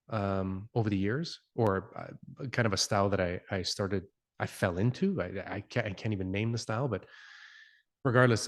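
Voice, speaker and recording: A man in his forties, an interview guest raised in Canada whose first language is Italian, speaking reflectively with many fillers and restarts. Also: lowish voice. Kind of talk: conversational interview.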